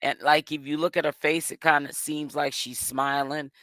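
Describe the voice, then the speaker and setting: A man with a hoarse voice, hosting and giving casual gossip commentary. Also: a little high, flat delivery, moderate pace, bright, forceful tone, dry recording. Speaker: commentary host.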